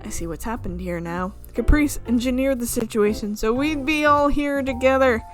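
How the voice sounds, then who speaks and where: A woman's voice: a little high, normal speed, soft background music. A woman reading visual novel narration aloud as a let's player, with casual reactions of her own.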